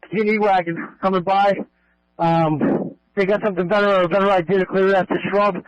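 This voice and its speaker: A man's voice: high voice, flat delivery, fairly quick, dry recording. Man reporting over dull police radio. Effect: two-way radio. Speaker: police officer in the field.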